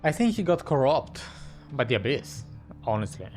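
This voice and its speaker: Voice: medium pitch, sing-song, normal speed. A gamer giving casual, offhand commentary while playing a story game. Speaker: gaming streamer reacting. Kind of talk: casual commentary over gameplay. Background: faint music.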